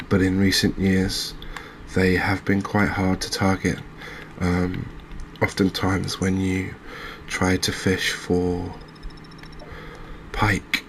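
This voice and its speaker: A man giving soft-spoken, gentle, unhurried gameplay commentary in an ASMR style. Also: deep voice, slight background noise. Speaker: host of a video game channel.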